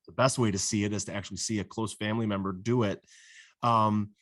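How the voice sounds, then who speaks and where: A man's voice: lowish voice, steady tone, quick pace, a bit bright. A man hosting a podcast interview, upbeat and affirming, asking long multi-part questions. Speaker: podcast host. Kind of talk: casual interview chat.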